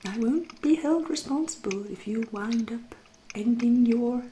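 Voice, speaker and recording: A woman with an English accent playing a seductive, wry, refined female vampire in a soft, whispered ASMR roleplay, speaking slowly and formally. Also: a little high, slight background noise, close to an everyday voice. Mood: cautioning, arch. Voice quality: soft, breathy, often whispering. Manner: slow, lingering, genteel, archly formal. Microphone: close ASMR microphone.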